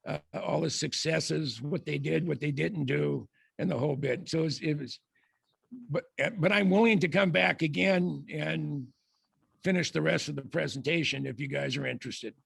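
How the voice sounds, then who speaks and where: An older man with a raspy, gravelly voice, telling long anecdotes as a guest speaker in a halting way full of restarts. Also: a little high, no room sound. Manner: halting, many restarts and fillers. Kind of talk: rambling anecdotal presentation.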